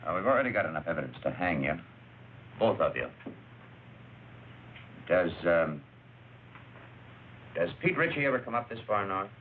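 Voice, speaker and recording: A world-weary, commanding police investigator from an old noir crime drama. His low voice moves widely in pitch as he fires clipped, hesitant questions. The recording is narrow and tinny, with faint background noise. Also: very animated, normal speed, long pauses. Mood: stern, pressing. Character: curt, world-weary male narcotics investigator boss interrogating suspects. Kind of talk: mid-century film noir crime drama acting.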